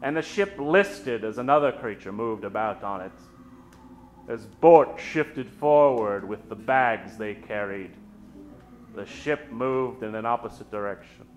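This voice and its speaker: A man hosting and narrating an old-time radio spoof on stage, with a theatrical, mock-ominous delivery full of dramatic pauses. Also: lowish voice, animated, slight background noise. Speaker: host and narrator of a radio show. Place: theater stage before an audience. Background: live keyboard music.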